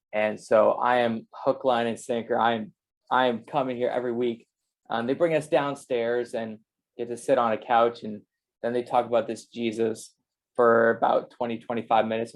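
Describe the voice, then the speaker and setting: Man with an even, steady delivery. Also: medium pitch. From Canada and the United States. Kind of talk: casual personal testimony.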